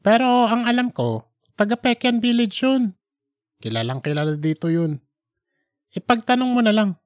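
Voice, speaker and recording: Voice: mid-range voice, lively intonation, moderate pace, voice pushed up, natural voice. A narrator voicing a chatty woman who cleans a church, talking in a colloquial, matter-of-fact and emphatic way. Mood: chatty, sure. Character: chatty, admiring female church cleaner, older than youth.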